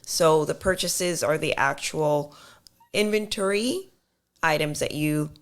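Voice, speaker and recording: A woman explaining accounting on a podcast, patiently walking through step-by-step examples with many fillers, her voice slightly hoarse. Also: deep voice, slow. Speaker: accountant guest.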